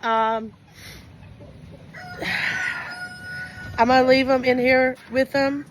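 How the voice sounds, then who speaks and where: A woman talks in a casual, rambling way, full of small fillers, and her voice is hoarse and fading. Her voice sits a little high. She is recorded outdoors by a chicken coop, with hens clucking and a rooster crowing clearly behind her. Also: level tone, very slow. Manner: rambling, chatty, many "uh" fillers. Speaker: backyard chicken keeper. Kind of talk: casual vlog chat. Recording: noisy outdoor farmyard recording.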